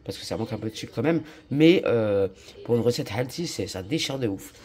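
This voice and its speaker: A woman's voice: extremely low pitch, animated, fairly quick, dark tone. A young woman vlogging in a casual, slangy, exclamatory way, chatting straight to her viewers. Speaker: lifestyle vlogger. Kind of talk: casual vlog chat.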